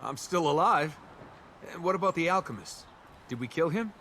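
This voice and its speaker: An actor playing a cocky, wisecracking young adventurer in a video game. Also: male voice, fairly high pitch, animated, normal speed, sharp tone, slight background noise. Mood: cocky banter. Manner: wisecracking, flippant. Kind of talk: video game voice acting, bantering dialogue.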